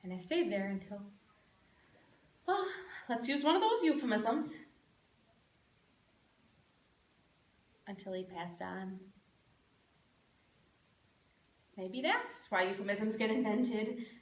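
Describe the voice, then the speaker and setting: A woman performs a middle-aged crafts lady from a small town, earnest and perky in a stage monologue. Her voice is high and sweeps widely up and down, with theatrical pauses. It is heard from a distance in an echoey room. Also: sing-song, bright, forceful tone, full character voice. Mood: tender, grieving. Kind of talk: competitive speech monologue, dramatic interpretation.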